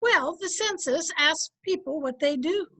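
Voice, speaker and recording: Lady doing really high pitched old-time radio wife, folksy, teases gently, dry wit. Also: expressive, measured pace. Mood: wry, helpful. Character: teasing, patient middle-aged wife with dry wit. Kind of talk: 1950s radio comedy acting.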